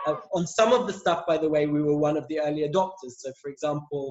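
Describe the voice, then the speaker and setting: A man's voice: fairly high pitch, racing, a little mellow, reverberant, lo-fi sound. A man guest in a conversational interview, talking fluently with many fillers and restarts. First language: English. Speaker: interview guest, LGBT tech group director and lawyer.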